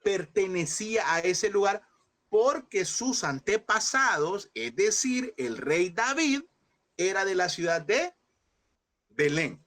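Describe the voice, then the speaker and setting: A man teaching a Bible class, asking his listeners questions, repeating them and slowing down on key points. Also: sits high, big pitch swings, fairly bright tone. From El Salvador. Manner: addresses listeners as brothers, asks and repeats questions; slows and stresses key words.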